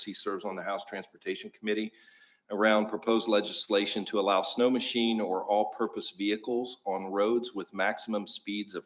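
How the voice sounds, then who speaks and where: A man, a borough staff official, giving a measured procedural report at a public meeting, with occasional fillers. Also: fairly low pitch, fairly bright tone.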